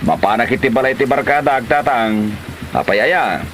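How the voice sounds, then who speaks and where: A man's voice: mid-range voice, moderate pace, noisy background, lightly acted. A man with an Ilocano Filipino accent playing a stubborn, stingy, defensive husband who bickers in a comedy drama. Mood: dismissive. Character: stubborn, stingy husband obsessed with his motorcycle.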